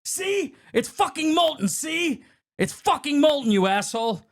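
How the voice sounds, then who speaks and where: A man acts out an enraged, wounded chef from a film, his voice pushed high and swinging wildly. He rants and swears with loud indignation. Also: big pitch swings, very slow, full character voice. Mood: furious, hurt. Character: furious wounded male chef ranting at a critic.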